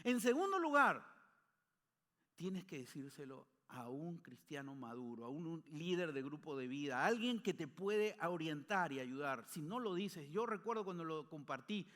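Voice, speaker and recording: High-voiced preacher in a reverberant hall. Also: male voice, sing-song, normal speed. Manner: earnest preaching, voice rising on declarations and dropping soft on endings. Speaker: pastor. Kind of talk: sermon.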